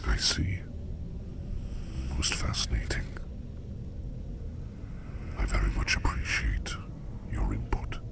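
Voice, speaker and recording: A man playing a curious, enigmatic sleep demon in an ASMR roleplay, whispering slowly in formal, musing phrases with a gravelly creak. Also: sing-song, heavily acted. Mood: intrigued, courteous. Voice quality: breathy whisper over very deep gravelly creak.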